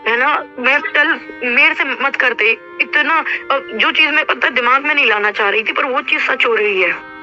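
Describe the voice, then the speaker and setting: A woman's voice: high-pitched, normal speed, pushed and bright. A young woman on a private phone call, talking insistently and with a sense of grievance, often cutting in on the other person. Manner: insistent, aggrieved, talks over the other. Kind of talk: heated private phone conversation. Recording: muffled recorded phone call, music behind.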